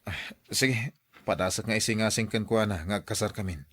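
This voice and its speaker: A man's voice: fairly low pitch, moderate pace, fairly bright tone. An actor playing a stubborn, lovestruck young man, a devoted son, in an Ilocano radio drama. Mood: earnest, pleading. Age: young adult.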